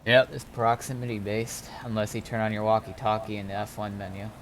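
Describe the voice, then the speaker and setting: A man's voice: fairly low pitch, flat delivery, fairly quick, a little hiss or hum. A man casually guiding newcomers through an online game, explaining step by step and somewhat hesitantly. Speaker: experienced game server player guiding newcomers.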